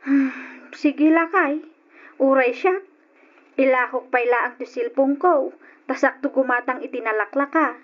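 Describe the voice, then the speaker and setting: Casting: meek, hard-up mother, high voice, Ilocano accent, apologetic, muffled old radio drama. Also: measured pace. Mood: resigned, gentle. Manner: pleading, apologetic. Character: hard-up, pleading mother, meek and self-sacrificing.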